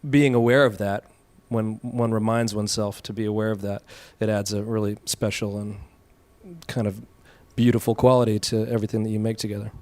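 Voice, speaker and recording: A man with a somewhat low voice answers on a live panel as a stage actor. He is thoughtful and hesitant, feeling his way through each phrase. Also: flat delivery, a little fast, darkish tone.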